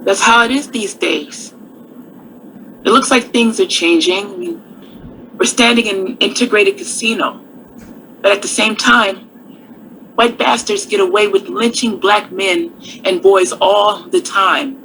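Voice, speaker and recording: A woman's voice: mid-range voice, a little slow, gravelly, long pauses, fairly bright tone, slight background noise, poor audio. An actress playing a proud, worldly touring woman singer who is self-assured and sassy but turns grave and defiant. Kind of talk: stage radio drama acting.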